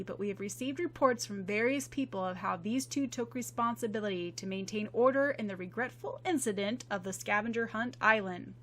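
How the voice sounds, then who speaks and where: A woman voicing a contest announcer who speaks in a loud, formal, announcing way. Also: a little high, a little hiss or hum, natural voice.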